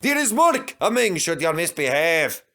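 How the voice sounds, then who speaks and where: A fiery, loyal Scottish locomotive from a children's story, played by a man. He speaks bluntly in a scolding way, with a lifted, animated voice. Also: on the high side, normal speed, voice pushed up, full character voice. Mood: angry scolding. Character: hot-tempered, loyal Scottish twin steam engine.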